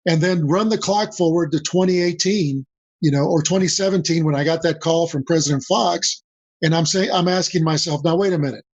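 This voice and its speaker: An older man from Mexico whose first language is Spanish, a guest on a podcast interview, talking in a measured way and repeating key phrases for emphasis. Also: on the high side, no room sound. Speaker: guest, senior advisor. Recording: dull dry lo-fi audio.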